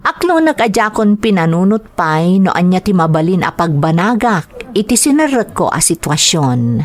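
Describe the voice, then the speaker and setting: Lively, coy young Ilocano mistress played by an actress. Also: female voice, fairly low pitch. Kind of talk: Ilocano radio drama acting.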